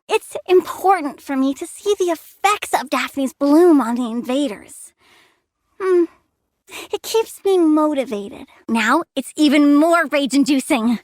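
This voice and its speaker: Super high girly plant villain for a game, cutesy n creepy, really animated delivery. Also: no room sound, studio quality, exaggerated voice. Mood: sulky, warning. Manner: cutesy, playful, swinging from chirpy excitement to sulky menace. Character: childlike plant-girl supervillain, perky, eccentric, gleefully menacing. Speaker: video game voice actor. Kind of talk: video game character voice lines.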